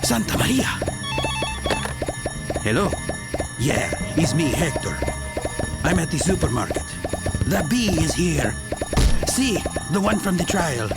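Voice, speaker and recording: A man playing an excitable, easily alarmed supermarket worker henchman with a creaky voice in a cartoon game. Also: on the high side, slow, pauses often, exaggerated voice. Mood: panicked, reporting. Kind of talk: animated video game cutscene acting. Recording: loud music with jingling behind.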